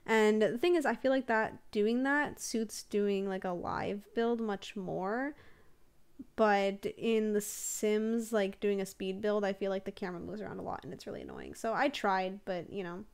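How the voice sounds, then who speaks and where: A young woman talks loosely over her game footage in a relaxed, rambling way, giggling between thoughts and piling up fillers. Her voice sits at an ordinary middle pitch for a woman and sounds a little stuffy from allergies. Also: normal speed, creaky, smooth. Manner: rambling, chatty, giggles often, many fillers. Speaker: Sims builder and streamer. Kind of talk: casual voiceover over a speed build.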